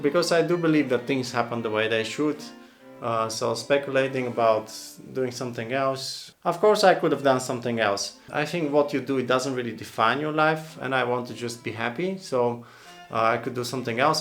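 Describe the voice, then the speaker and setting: A man's voice: mid-range voice, gravelly. A man from Bulgaria, an oboist, answering viewer questions casually, thoughtful and rambling, with many restarts and fillers. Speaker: oboist and channel host.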